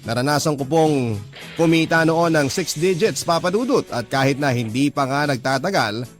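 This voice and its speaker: A man voices a hardworking guy telling his life story for radio drama. His voice is fairly high for a man, earnest and polite, with clear music playing underneath. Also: moderate pace, lightly acted. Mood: earnest, proud. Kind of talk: dramatised first-person narration for radio.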